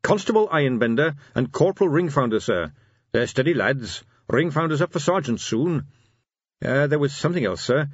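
A man acts an earnest, dutiful captain of a fantasy city watch. He speaks deferentially in a raised, lively voice. The storybook recording is dry and slightly muffled. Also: medium pitch, moderate pace, husky, no echo, voice pushed up. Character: Captain Carrot, earnest dutiful young police captain.

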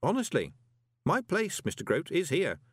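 A man's voice: a little high, wildly swooping pitch, moderate pace, breathy edge, dry recording, clean studio sound, pitched above the performer's own voice, heavily acted. A man voicing a polite, confident young conman postmaster with a glib, persuasive manner in an audiobook. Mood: earnest. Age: young adult.

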